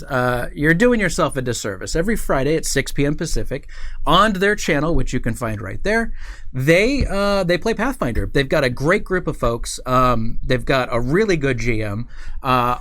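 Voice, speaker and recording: A male live stream host with a somewhat high-pitched voice. He talks casually to his viewers, rambling through announcements in an informal, playful way. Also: fairly bright tone.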